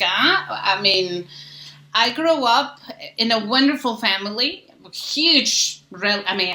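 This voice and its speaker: A woman's voice: mid-range voice, pushed and bright, reverberant. A woman in her sixties from Mexico City with a Mexican accent and Spanish as her first language, telling stories as a podcast guest with a wry, self-mocking manner. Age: 60s. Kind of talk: casual chat.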